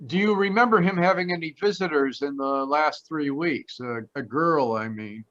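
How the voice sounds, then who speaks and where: Man playing a bright-voiced wry radio detective, lo-fi. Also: on the high side, moderate pace, lightly acted. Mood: deadpan, wry. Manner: deadpan, wisecracking. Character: wry, blunt male private detective, narrating and questioning. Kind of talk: 1940s radio detective acting. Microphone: video call. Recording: dull lo-fi video call audio.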